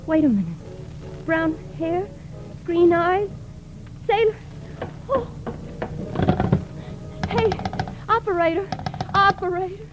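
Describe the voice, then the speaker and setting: High-pitched chatty American waitress. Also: female voice, slow, long pauses, poor audio. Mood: alarmed, urgent. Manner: chatty, hesitant, easily flustered. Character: chatty, nervous female lunch counter waitress. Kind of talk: 1940s radio acting. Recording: old 1940s radio broadcast, music behind.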